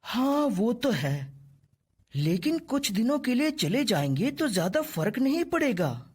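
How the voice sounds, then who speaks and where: Female actor as plotting, greedy cartoon lad. High register, theatrical and whiny, very animated delivery. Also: a little slow, slightly rough, full character voice. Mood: coaxing. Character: scheming, greedy young son in a cartoon fable. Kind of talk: animated children's story voice acting.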